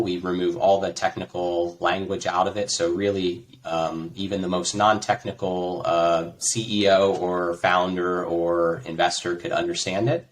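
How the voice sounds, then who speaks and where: A man from the United States with a low, deep voice talks through his work in a relaxed, conversational way. He pauses on fillers as he explains. A faint hiss of noise sits under the recording. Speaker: interview guest, tech executive. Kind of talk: conversational interview.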